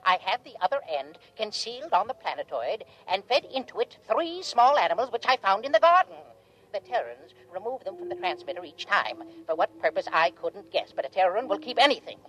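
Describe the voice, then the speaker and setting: A woman's voice: mid-range voice, sing-song, a little fast, a bit bright, low-quality recording. An actress playing a scheming, self-pitying alien in a 1950s radio drama, formal and pleading in her theatrical delivery. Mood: smug, scheming. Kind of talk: 1950s radio acting, scripted narration.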